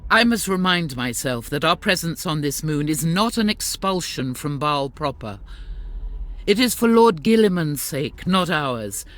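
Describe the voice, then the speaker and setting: A woman's voice: deep voice, big pitch swings, unhurried. A woman voicing a stern, devout warrior nun commander with a dry, formal manner, in a game cutscene. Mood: solemn. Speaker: sister superior.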